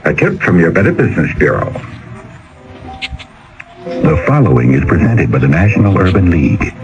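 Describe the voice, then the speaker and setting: A man's voice: deep voice, big pitch swings, moderate pace. A male announcer reading a scripted public service message in an earnest, emphatic manner. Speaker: radio announcer. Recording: dull old radio broadcast, music behind.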